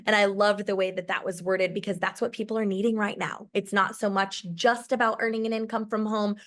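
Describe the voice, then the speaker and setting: A woman giving an upbeat, polished sales pitch for a new company. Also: little pitch movement, a little fast, fairly bright tone. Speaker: company presenter. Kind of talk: promotional live presentation.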